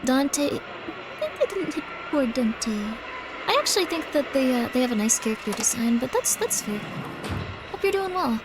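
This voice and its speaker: Bubbly, high-voiced woman streamer. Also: big pitch swings, normal speed, over music, rough recording. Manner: bubbly, chatty, thanking viewers often. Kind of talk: casual live-stream chat while gaming.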